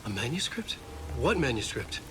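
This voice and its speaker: An actor playing a confused, anxious writer in a game drama, speaking urgently and haltingly as he searches for answers. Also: medium pitch, sing-song, background noise, lightly acted. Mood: bewildered, insistent.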